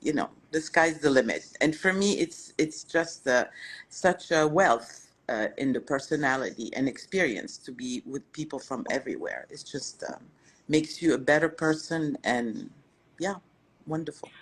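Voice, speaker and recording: A woman's voice: sits low, expressive, moderate pace, husky, poor audio. An older woman from Lebanon whose first language is French, a restaurateur answering interview questions in a reflective way, with frequent fillers and restarts. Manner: warm-hearted, reflective, with many fillers and restarts. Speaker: guest, restaurateur.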